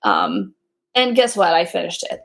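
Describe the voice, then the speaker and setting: A woman's voice: lowish voice, huge pitch swings, normal speed, creaky, a bit bright. A woman co-hosting a podcast, chatting casually and laughing often. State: pregnant. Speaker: podcast co-host. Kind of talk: casual chat.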